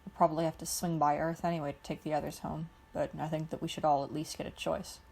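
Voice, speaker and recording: A woman voicing a terse, serious young male pilot, speaking quietly. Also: low-pitched, flat delivery, fast, creaky, breathy edge, voice pushed down, full character voice.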